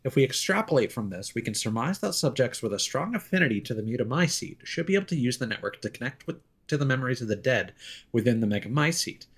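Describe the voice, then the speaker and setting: A man's voice: mid-range voice, expressive, fast talker, husky. Man streaming a game, musing aloud. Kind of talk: casual live gameplay commentary.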